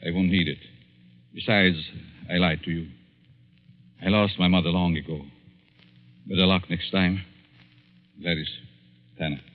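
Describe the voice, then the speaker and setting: An actor playing a scheming professor in a vintage radio drama, theatrical and exclamatory. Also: male voice, sits low. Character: scheming male professor, feigning shock, cornered and desperate. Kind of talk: 1940s-50s radio drama acting. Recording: dull, echoey old radio recording with music.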